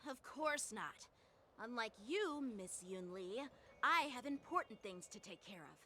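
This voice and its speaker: An earnest young swordsman boy, highish and lively in pitch. He speaks formally and with heated indignation, as in an anime game. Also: unhurried, slight background noise. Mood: cold, pointed.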